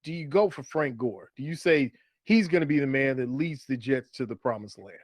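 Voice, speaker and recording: A man's voice: mid-range voice, lively intonation, fairly quick. A man co-hosting a fantasy football show in a casual, conversational way, posing questions. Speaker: fantasy football podcast co-host. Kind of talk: casual sports chat.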